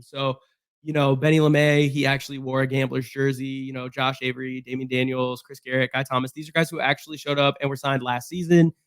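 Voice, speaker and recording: A man with a hoarse voice from being sick, chatting casually through a solo football roster breakdown with fillers and restarts. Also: mid-range voice, fairly bright tone, no echo. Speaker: sports podcast host.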